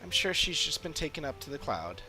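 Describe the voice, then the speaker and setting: A man's voice: a little high, big pitch swings, brisk, over music, pitched above the performer's own voice. Man voicing a polite, lilting game townsperson. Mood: mild, unworried. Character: polite, puzzled townsfolk resident, untroubled and helpful. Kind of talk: read-aloud video game dialogue.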